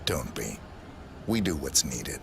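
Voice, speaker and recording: A man playing a cold, terse assassin in a video game, gravelly, clipped and menacingly understated. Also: rumbling low, very animated, slow pace, background noise, full character voice. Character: cold, terse male assassin posing as client.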